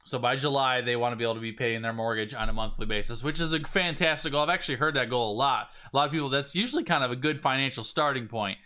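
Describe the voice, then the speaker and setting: A man, slightly raspy today, coaching viewers on a live stream in an energetic way, often restarting his phrases. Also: medium pitch, fast, few pauses. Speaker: business coach.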